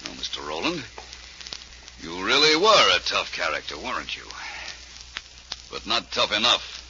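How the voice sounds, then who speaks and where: An American actor playing a confident, smooth-talking private detective in 1940s radio drama, speaking in a deliberate, polite, wry way. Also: male voice, deep voice, big pitch swings, heavily acted. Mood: cool taunting. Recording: dull, noisy 1940s radio recording.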